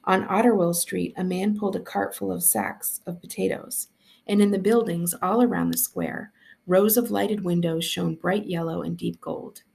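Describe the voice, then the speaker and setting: Very creaky woman reading a story aloud. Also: on the low side, expressive, moderate pace, a little mellow. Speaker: school librarian reading aloud. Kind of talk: expressive storybook narration.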